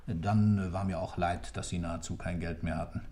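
Actor as composed, dark-voiced male witness. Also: deep voice, steady tone, brisk, natural voice. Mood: calm, defensive. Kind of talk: radio play narration.

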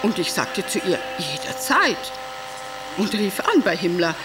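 Melodramatic, slow-spoken grand wife. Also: female voice, mid-range voice, very animated, heavy background noise. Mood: indignant. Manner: melodramatic, declamatory, deliberately drawn-out phrasing. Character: hysterical, devoted Nazi-era wife, vain former stage actress. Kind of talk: theatrical stage monologue.

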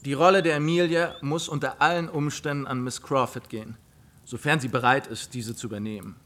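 An actor playing an insistent, enthusiastic young gentleman in a German radio drama. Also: mid-range voice, fairly even intonation, fairly quick, natural voice. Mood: emphatic. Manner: insistent, opinionated. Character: young gentleman, bossy, enthusiastic eldest brother organising amateur theatricals.

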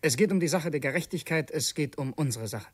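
An actor playing a young, educated revolutionary assassin in a German radio play, earnest and politely scheming, with formal, measured diction. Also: male voice, a little high, lively intonation, breathy edge, no room sound. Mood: resolute.

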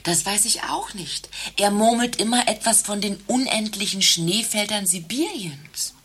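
An actress in a satirical radio play playing a brisk, scornful woman at a doctor's practice, speaking matter-of-factly. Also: medium pitch, fairly bright tone, husky.

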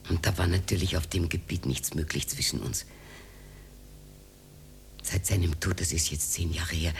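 An actress playing a tender, teasing, lonely older widow in a radio drama. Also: female voice, very deep voice, a little hiss or hum, full character voice. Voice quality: breathy, often half-whispered. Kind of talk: intimate radio drama acting. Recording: 1980s studio radio play.

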